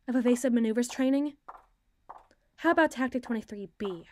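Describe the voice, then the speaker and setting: A woman acting a sardonic, fast-thinking, bossy secret agent in an ASMR roleplay audio drama, wordy and wry. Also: on the high side, mellow, a little hiss or hum, lightly acted. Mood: hushed, conspiratorial. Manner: wry, wordy, teasing, flustered when challenged.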